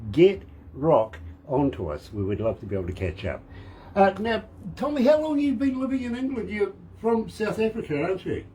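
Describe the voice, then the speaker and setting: A man's voice: a little high, warm tone, slight background noise. A man with a New Zealand accent hosting a radio show, enthusiastic and fond of repeating phrases for emphasis. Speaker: radio host.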